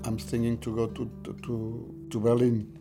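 Guy in an interview, halting, hunting for words, guitar in the back. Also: medium pitch, monotone.

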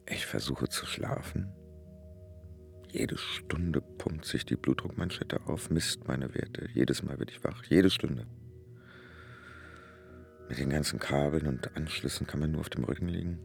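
A weary stroke patient in a radio play, telling his story with dry wit. His voice sits very low and has a gravelly creak, with faint music underneath. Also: heavily acted. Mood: subdued, weary. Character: male stroke patient recounting his hospital stay, weary, wry. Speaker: actor narrating in first person. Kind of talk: radio drama monologue.

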